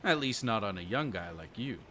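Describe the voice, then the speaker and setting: Man acting a bright-voiced folksy country fisherman. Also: fairly low pitch, expressive, fairly quick, a little hiss or hum. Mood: amused, frank. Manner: folksy rural phrasing, dropped g's. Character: kindly rustic fisherman, folksy storyteller, hospitable. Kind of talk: audio roleplay acting.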